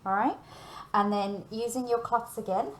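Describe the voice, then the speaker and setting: A woman's voice: fairly high pitch, steady tone, moderate pace, slight background noise, poor audio. A woman giving a hands-on baking demonstration, talking learners through each step in a chatty, instructional way and often checking in. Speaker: cooking and baking teacher.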